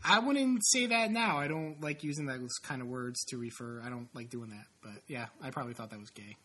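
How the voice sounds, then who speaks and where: A man in his late twenties with a congested, sniffly voice. His tone is bright and a little husky, and he rambles through casual chat with plenty of swearing and self-mockery. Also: mid-range voice, a little fast. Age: late 20s. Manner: rambling, profane, self-mocking. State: stuffy, sniffling.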